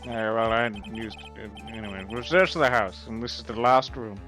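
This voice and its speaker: A man's voice: fairly low pitch, moderate pace, over music, close to an everyday voice. A man voicing a dry, formal, mildly exasperated prosecutor in a comedic game fan dub. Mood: businesslike.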